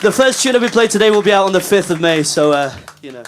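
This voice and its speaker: Frontman, high voice, distant stage mic, crowd and noise behind. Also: lively intonation, quick pace. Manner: thanks the crowd warmly. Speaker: band frontman. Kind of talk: stage announcements. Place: live gig room.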